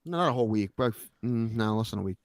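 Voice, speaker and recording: A man's voice: on the low side, expressive, fast talker, no room sound, clean studio sound. A man co-hosting a podcast in casual chat, rambling and swearing, a little sniffly. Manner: profane, rambling, worried. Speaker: podcast co-host.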